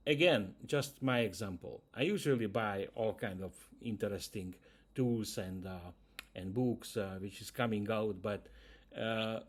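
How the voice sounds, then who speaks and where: Hungarian-accented man, hesitant talk. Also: fairly low pitch. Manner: hesitant, many "uh" fillers, non-native grammar. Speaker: YouTube channel host. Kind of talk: casual talk to camera.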